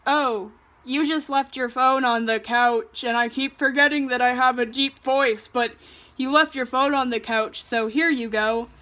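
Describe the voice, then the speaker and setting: A woman mockingly voicing a sly, scheming young man in a falsely casual, mock-deep delivery. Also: fairly even intonation, unhurried, sharp tone. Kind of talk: mocking parody reading.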